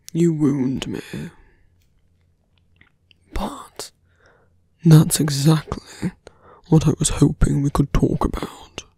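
A man playing a seductive, teasing fantasy villain in an ASMR roleplay, breathy and often whispering, with a languid, smugly menacing, taunting manner. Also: a little high, a little mellow, no echo, full character voice.

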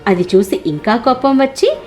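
A woman's voice: fairly high pitch, sing-song, moderate pace, mellow, soft background music. A woman storyteller reading scripted narration for a cartoon moral tale.

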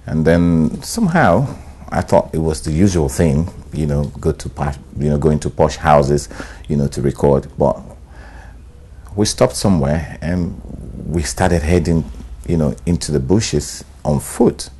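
A middle-aged Nigerian man, an actor, talking in a sit-down interview, relaxed and hesitant, with many "um"s and "you know"s. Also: a bass voice, measured pace, breathy edge. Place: bright studio room, indoors. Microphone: lapel.

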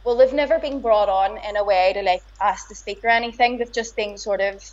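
A woman's voice: medium pitch, brisk. A woman in her thirties with a broad Belfast accent, chatting easily as a guest over a video call from home. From Northern Ireland. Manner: easygoing, laughs often. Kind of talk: casual video-call chat. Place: room at home, indoors. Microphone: laptop or phone camera on a video call. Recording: dull lo-fi video-call audio.

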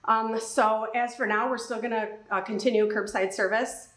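A young woman who owns a grooming salon, answering interview questions casually with many pauses and ums. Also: on the high side, steady tone, fairly bright tone, roomy sound. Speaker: salon owner. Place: pet grooming salon, indoors.

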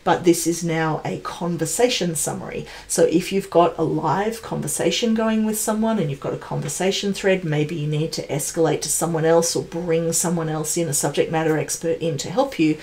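A woman's voice: low voice, fairly even intonation, moderate pace, few pauses, a little mellow. A woman in her forties giving an enthusiastic, conversational and emphatic explainer on product announcements, as a tech presenter. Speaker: tech channel presenter, pre-sales professional.

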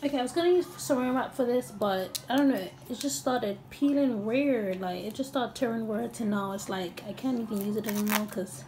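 A woman's voice: a little high, brisk, few pauses, noisy background. A woman casually talking through a home cooking video in her kitchen, hesitant, with many ums and restarts. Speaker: cooking vlogger.

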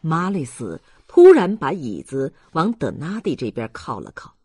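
An audiobook narrator reads a classic novel expressively. The voice is husky, with lively intonation, in a dry recording. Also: medium pitch, a little slow, no echo.

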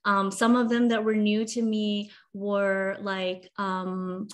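A woman in her thirties from Hong Kong speaks at a medium pitch. She explains things conversationally, as an author on a video call panel. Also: level tone, slow. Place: video call from a room at home.

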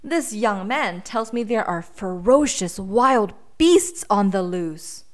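A woman's voice: mid-range voice, animated, takes it slowly. Woman voicing a hesitant, nervous storybook bear. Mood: warning. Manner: hesitant, stammering. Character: nervous, timid big male bear.

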